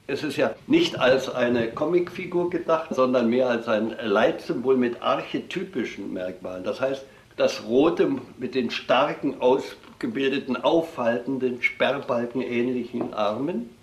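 A man's voice: medium pitch, unhurried. A man explaining a design in a precise, analytical way in an interview. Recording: roomy echo.

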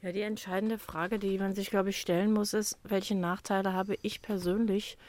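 A woman's voice: mid-range voice, flat delivery, normal speed, darkish tone. A woman speaking as an expert guest on a radio panel, composed and reasoned.

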